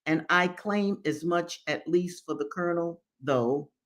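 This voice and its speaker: A woman's voice: lowish voice, unhurried, close to an everyday voice. A woman voicing a pious genteel mistress, reproachful and self-righteous, in a formal moralizing manner for a novel reading.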